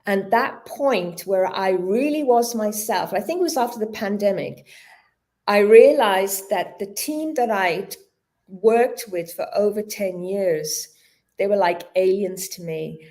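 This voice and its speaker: A woman's voice: medium pitch, a little slow, a little mellow. A woman in her fifties, a yoga teacher speaking as a guest in a casual interview, earnest and reflective, her speech a little clumsy from a dental injection. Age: 50s. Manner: slightly stumbling, searching for words. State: mouth numb from dental injection.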